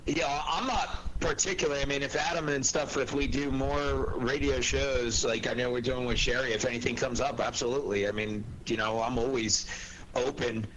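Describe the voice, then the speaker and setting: A man's voice: mid-range voice, fairly even intonation, normal speed, a bit bright, dull audio, slight background noise. A man who works as a voice actor, talking informally and chattily in a casual group conversation. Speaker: voice actor and panel guest.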